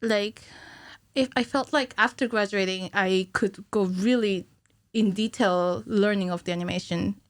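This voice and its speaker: Female podcast guest, thirties, medium pitch, informal and conversational, dry studio sound. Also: fairly even intonation, measured pace, dry recording. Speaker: podcast guest, cinematic animator. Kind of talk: casual interview chat. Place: small indoor podcast studio. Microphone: studio mic on a stand, headphones.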